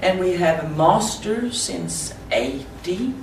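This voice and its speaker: A Swedish woman with a Swedish accent, speaking halting non-native English in a slide presentation at a conference. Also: lowish voice, expressive. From Sweden. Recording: roomy, distant mic with faint hiss.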